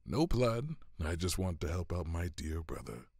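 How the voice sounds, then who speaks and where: Deep, gravelly, excitable god. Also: male voice, moderate pace, no room sound, studio quality, heavily acted. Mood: scheming, persuasive. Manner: theatrical, persuasive, easily indignant. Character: proud, meddling, excitable god and brother. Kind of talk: audio drama acting.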